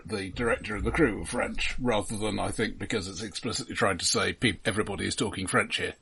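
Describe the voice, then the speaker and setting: A man co-hosting a podcast in casual film discussion, with a dry, understated, gently correcting manner. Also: on the low side, steady tone, quick pace, gravelly, breathy edge. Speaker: podcast co-host.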